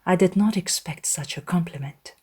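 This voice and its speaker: A woman voicing a gentle, modest young gentlewoman, soft-spoken and earnest, in a dramatized audiobook. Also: on the low side, normal speed, slightly rough.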